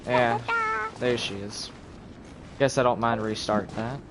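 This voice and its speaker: A man's voice: medium pitch, steady tone, slow. A man giving casual live commentary on a video game, thinking aloud as he plays. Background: video game music.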